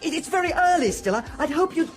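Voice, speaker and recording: A man's voice: extremely high pitch, animated, fast talker, faint music behind. An actor playing an anxious, insecure young man who stammers and frets, in a radio drama. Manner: stammering, nervous.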